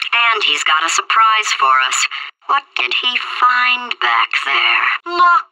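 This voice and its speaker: A sardonic AI stuck in a potato. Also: female voice, fairly low pitch, sharp tone, poor audio. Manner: sardonic, exasperated, bargaining. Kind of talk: video game voice clips.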